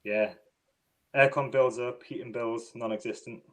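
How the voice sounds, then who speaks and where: A man's voice: medium pitch, a little slow. Man, northeast english accent, podcast host, casual chat, lots of ums, video call mic. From northeast England. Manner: relaxed, many ums and restarts.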